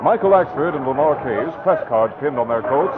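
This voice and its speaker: A man narrating and announcing in dramatic 1940s radio style, booming and theatrical. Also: expressive, moderate pace, a crowd in the background. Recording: tinny noisy old radio broadcast.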